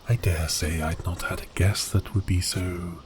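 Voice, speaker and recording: A man playing a giant cursed sea beast prince in an ASMR audio roleplay, speaking in grand archaic phrases with a teasing, theatrical manner and a breathy voice that dips into whispers. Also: low-pitched, warm tone, a little hiss or hum. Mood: teasing, amused.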